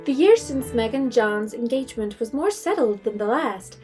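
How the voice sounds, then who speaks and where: A woman's voice: on the high side, animated, moderate pace, warm tone, faint music behind. A woman reading scripted literary narration for an audio drama.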